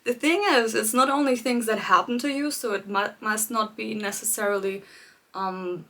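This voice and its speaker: A woman coaching viewers in a live-streamed talk, speaking gently and reflectively, addressing them directly and laughing lightly between her points. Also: a little high, steady tone, normal speed. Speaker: life coach hosting a live challenge.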